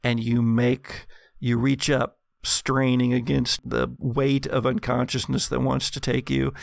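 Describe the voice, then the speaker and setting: Male game master, measured and dramatic horror narration, gravelly edge, unhurried pace. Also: medium pitch, monotone, no echo. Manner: dramatic, deliberate storytelling in second person.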